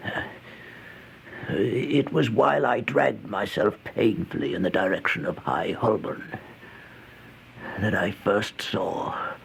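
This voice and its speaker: Through a hissy old radio recording comes a weary, haunted down-and-out in a horror monologue. His low voice is heavily creaky and breathy, halting with theatrical anguish. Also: heavily acted. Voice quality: gravelly, breathy, dropping to near-whispers. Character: exhausted, starving, haunted homeless man recounting a horror tale.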